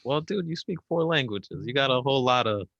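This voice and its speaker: A man hosting a casual interview, easygoing and quick to sound impressed, using casual slang. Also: medium pitch, animated, vocal fry, a bit bright, lo-fi sound. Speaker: host.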